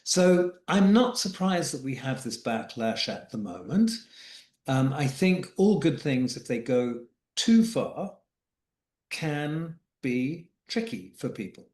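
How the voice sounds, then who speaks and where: Male executive coach, podcast guest, reflective, mid-pitched, echoey room sound. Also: big pitch swings, takes it slowly, a little mellow. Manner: reflective, repeats words as he thinks. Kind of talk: podcast interview conversation.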